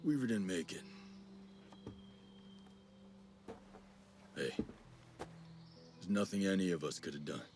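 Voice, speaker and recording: A man playing a determined, loyal special forces leader, brisk and matter-of-fact, in a game cutscene. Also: sits low, lively intonation, darkish tone, soft background music. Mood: somber, resolute. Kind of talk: dramatic video game cutscene acting.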